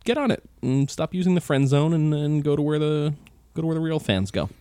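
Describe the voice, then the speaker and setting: A man hosting a podcast in casual chat, laid-back, swearing and pausing on fillers. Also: a little high, expressive, mellow, smooth, dry recording. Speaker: podcast host.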